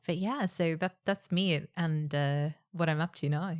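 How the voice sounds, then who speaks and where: A young adult woman with a Northern Irish accent, chatting as a podcast guest and explaining with quick asides. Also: deep voice, dry recording, clean studio sound. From Northern Ireland. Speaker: podcast guest, financial educator. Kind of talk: casual interview chat. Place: podcast booth.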